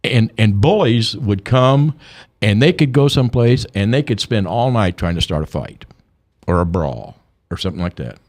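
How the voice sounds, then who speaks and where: An older man, a guest on a radio talk show, telling stories in an animated way and repeating words as he gets going. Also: lowish voice, normal speed. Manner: animated storyteller, repeats words when excited. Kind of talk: radio interview.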